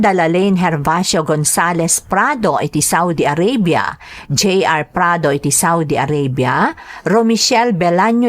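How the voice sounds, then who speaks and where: A Filipino woman with an Ilocano accent, hosting a radio drama and reading out greetings and letters. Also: fairly low pitch. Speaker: radio drama host and narrator.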